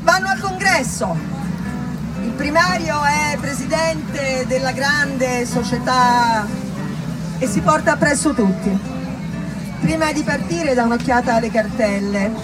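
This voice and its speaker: A woman's voice: high voice, measured pace, over loud music, low-quality recording. A woman performing a dramatic theatrical monologue, telling a story emphatically in short, broken phrases.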